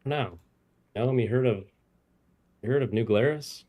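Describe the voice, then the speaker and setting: A man's voice: mid-range voice, a little slow, narrow, tinny audio. A man in his late forties, a homebrewer co-hosting a casual beer livestream, laid-back and saying "dude" a lot. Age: 40s. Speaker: homebrewer co-host.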